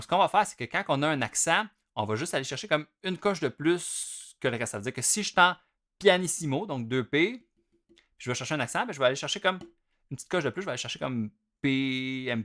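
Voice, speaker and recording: A young man with a Québécois French accent teaches drumming in an easygoing, conversational way, his voice sitting at an ordinary middle pitch. He chants rhythm names aloud, pushing the accented notes hard and dropping the others down soft. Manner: chatty and relaxed, chants note rhythms aloud with strong loud-soft contrasts. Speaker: drum teacher. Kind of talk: casual instructional explanation.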